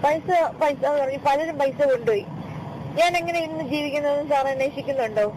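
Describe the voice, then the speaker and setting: Woman, fast-talking, insistent, pleading, repetitive, phone call with clear vehicle noise. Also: high voice. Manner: insistent, repeats phrases, pleading complaint. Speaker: caller. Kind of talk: tense, argumentative phone conversation. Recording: noisy phone call recording, vehicle sounds.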